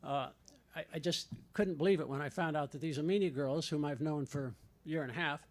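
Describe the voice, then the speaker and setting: An older man speaks with rising indignation, rambling and restarting around fillers. His voice is a little high for a man. He stands at a podium microphone in a board meeting room. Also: brisk. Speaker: retired professor at public comment. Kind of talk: unscripted public comment.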